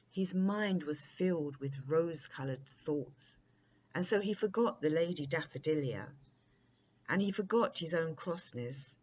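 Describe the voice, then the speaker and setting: A woman narrates a children's bedtime story in a low voice. She takes her time over each phrase. The sound is somewhat dull, with a faint layer of noise.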